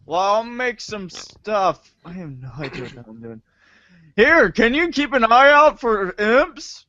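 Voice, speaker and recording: A man's voice: high-pitched, lively intonation, unhurried, pushed and bright, dry recording, full character voice. A man playing an exasperated, suspicious young boy in an amateur readers' theater reading of a webcomic. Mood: flustered. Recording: dull lo-fi microphone sound.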